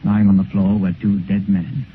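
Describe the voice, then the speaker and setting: A man as the grave storyteller of a Gothic tale, narrating solemnly and deliberately in 1950s radio style. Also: deep voice, flat delivery, lightly acted. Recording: noisy, muffled 1950s radio recording.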